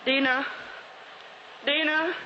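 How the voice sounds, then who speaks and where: Dying noblewoman, high voice, imperious, bitter, melodramatic, old radio drama, muffled noisy recording. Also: steady tone, slow pace, pushed and bright, full character voice. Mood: urgent, commanding. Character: dying, bitter, commanding aristocratic sister, feverish and taunting. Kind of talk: 1940s radio horror acting.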